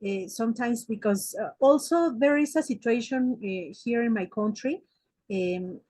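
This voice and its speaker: A woman's voice: fairly high pitch, a little slow, dark tone, dry recording. Hesitant Mexican woman psychotherapist. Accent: Mexican Spanish. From Mexico City. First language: Spanish. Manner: hesitant, many "eh" fillers and restarts. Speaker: psychotherapist guest. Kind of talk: interview answers.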